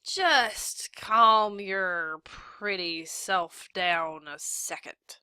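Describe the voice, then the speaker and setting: A drunken, leering sheriff voiced by a woman reading aloud stretches every word into a slow, lazy drawl. The tone is bright and clear, recorded dry with no room sound. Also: mid-range voice, no room sound, exaggerated voice. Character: drunken leering male small-town sheriff.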